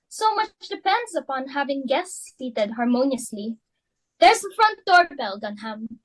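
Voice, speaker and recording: Woman acting an imperious, high-voiced society mother. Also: fairly bright tone, poor audio. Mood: brisk, fussy. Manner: imperious, melodramatic, scolding. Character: domineering, socially ambitious society mother and hostess. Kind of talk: dramatic reading of a stage play.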